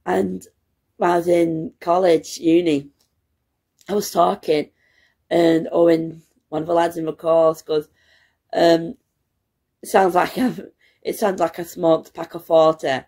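A young woman, a student, chatting casually to her phone camera at home, her voice croaky from hay fever and her talk rambling and excitable. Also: lowish voice, a little slow, long pauses. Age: young adult. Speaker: student vlogger. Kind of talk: casual vlog chat. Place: home, indoors. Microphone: phone camera at arm's length.

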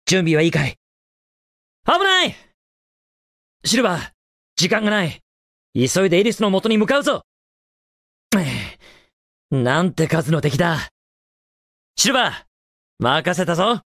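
A man's voice: sits high, very animated, unhurried, long pauses, fairly bright tone, no room sound, studio quality, full character voice. A male actor voicing a cocky, daring young cartoon hedgehog hero in a video game, with cool, confident, casual delivery. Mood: urgent, determined. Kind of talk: video game voice acting, short in-game lines.

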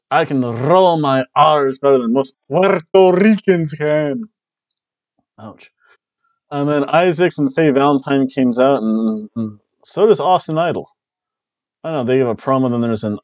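A man's voice: medium pitch, no room sound. Chatty man recapping wrestling on a headset. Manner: chatty, jokey, loose play-by-play with playful exclamations. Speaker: wrestling review host. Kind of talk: casual review chat. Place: small home room with bookshelves, indoors. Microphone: headset mic on a webcam call. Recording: dry, dull lo-fi webcam audio.

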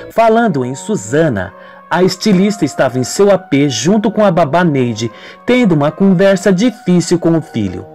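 A man's voice: fairly high pitch, darkish tone. A man narrating a scripted radio soap opera as a dramatic storyteller. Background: music.

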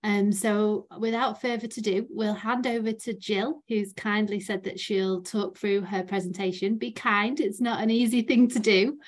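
A woman's voice: mid-range voice, fairly even intonation, normal speed, few pauses. A woman hosting an online session, talking in a friendly, reassuring and chatty way before introducing the speaker. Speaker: session host. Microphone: video call.

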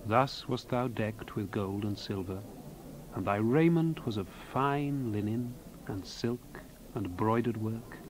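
An actor playing a solemn prophet who delivers God's reproach in a dramatized scripture reading, with grave declamatory delivery. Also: male voice, lowish voice, slow pace, a little hiss or hum, rough recording. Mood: tender, solemn. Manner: grave, declamatory, archaic diction.

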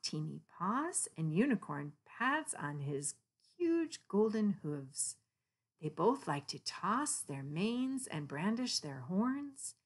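A woman reads a picture book aloud to children. Her voice sits low but moves in lively swoops, with a slightly husky edge and an expressive storytelling style.

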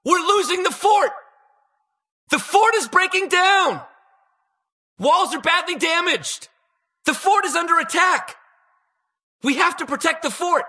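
An actor playing an eager, anxious and boastful toy-inventor hero in a video game, with a husky, slightly awkward delivery. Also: male voice, very high-pitched, lively intonation, a little slow, pauses often, pushed and bright, heavily acted. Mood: urgent, alarmed. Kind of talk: video game battle voice lines.

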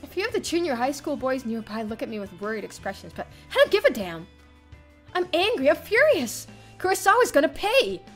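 A young woman streamer reading a visual novel aloud in an expressive, theatrical first-person narration. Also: sits high, a little fast. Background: soft game music.

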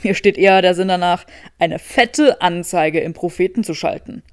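Audiobook role: sarcastic young man, played by a woman, pitched low, smug teasing drawl. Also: moderate pace, dry recording, clean studio sound, natural voice. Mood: mock pompous.

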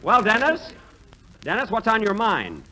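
Slow, exasperated man on an old radio show. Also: high-pitched, wildly swooping pitch, bright, forceful tone. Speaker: comedy show host. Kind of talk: 1940s radio comedy. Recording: noisy old radio broadcast before a studio audience.

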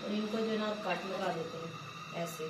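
A woman's voice: medium pitch, steady tone, moderate pace. Homely Pakistani woman, echoey room. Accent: Pakistani Urdu. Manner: homely step-by-step talk, often saying "jo hai na". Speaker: village vlogger. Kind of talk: casual cooking narration. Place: small room at home, sitting on a floor mat. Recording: echoey room with clear background noise.

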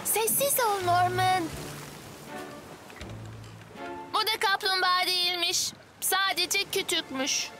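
A woman's voice: very high voice, unhurried, music in the background. A woman voicing a bold, determined young girl in a Turkish cartoon dub, spirited and insistent. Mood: bossy.

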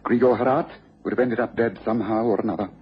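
An actor playing a composed, assured gentleman detective in a radio drama. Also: lowish voice, steady tone, quick pace, husky. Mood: reassuring. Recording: dull, noisy old radio recording.

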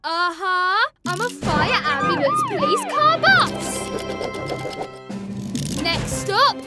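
An actor voicing an eager, brave little boy bus in a children's cartoon, cheerful and excitable. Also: extremely high pitch, slow, heavily acted. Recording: loud music behind.

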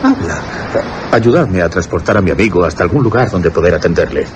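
An actor with an accent from Spain playing an earnest, proud young gentleman in a radio drama, speaking formally and dramatically. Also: low-pitched, animated, brisk, background noise. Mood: urgent.

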